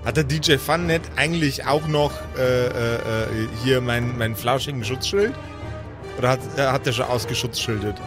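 A man's voice: medium pitch, normal speed, over music. A man who plays on a podcast, bantering casually in a mock-exasperated manner. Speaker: podcast player.

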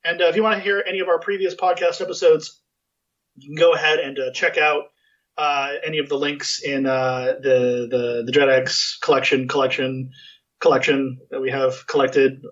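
Man, podcast host, rambling casual chat, slightly high voice, dull lo-fi sound. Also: a bit bright. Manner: rambling, many fillers and restarts. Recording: muffled lo-fi sound.

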